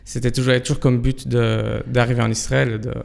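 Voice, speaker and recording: Even-toned Belgian man, smooth with creak. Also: mid-range voice, normal speed. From Antwerp, Belgium. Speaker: fintech professional, interview guest. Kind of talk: conversational interview answers. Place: broadcast studio.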